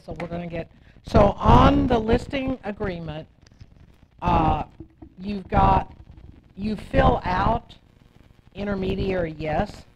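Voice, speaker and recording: A woman teaching a real estate class in a blunt, conversational, emphatic way, acting out bits of client dialogue as she explains. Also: lowish voice. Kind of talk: informal classroom instruction with role-played client dialogue. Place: training room with attendees. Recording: muffled, noisy classroom recording.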